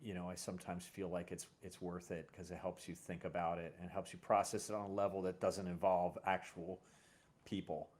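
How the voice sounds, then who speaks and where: A middle-aged man with a fairly low voice talks casually as a host. He tells personal stories reflectively, feeling his way through them in a halting, conversational style. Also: flat delivery, a little mellow.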